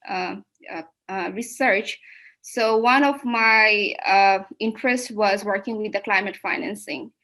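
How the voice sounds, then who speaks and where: Young Bangladeshi woman giving a halting seminar talk. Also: on the high side, a bit bright. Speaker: PhD student presenting research. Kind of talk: academic seminar presentation, semi-spontaneous.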